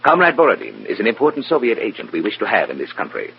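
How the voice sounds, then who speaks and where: An actor playing a stern, contemptuous Communist Party boss with clipped, formal, imperious speech in an old radio drama. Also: male voice, lowish voice, huge pitch swings, quick pace, creaky, heavily acted. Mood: cold, authoritative. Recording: dull, noisy, humming old radio recording.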